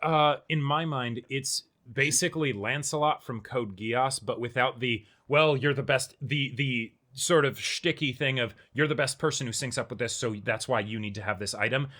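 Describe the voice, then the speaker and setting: Man, medium pitch, bright crisp-edged tone, casual co-host, opinionated, emphatic, conversational tags. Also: normal speed, hardly any pauses. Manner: emphatic, peppers talk with "right?" and "you know". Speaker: co-host of an anime reaction and discussion show. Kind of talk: casual discussion, opinionated commentary.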